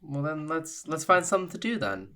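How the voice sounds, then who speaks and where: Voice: mid-range voice, big pitch swings, fairly quick, sharp tone, slight background noise. A player voicing an easygoing, encouraging adventurer in an improvised tabletop game, casual, chatty and reassuring. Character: easygoing, encouraging carnival-going adventurer Dakota.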